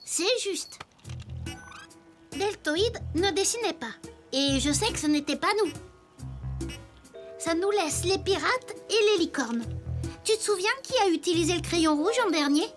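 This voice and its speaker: A woman's voice: very high-pitched, animated, measured pace, long pauses, soft background music. A woman voicing an eager little wooden boy toy detective in a children's cartoon, earnest and inquisitive. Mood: thoughtful.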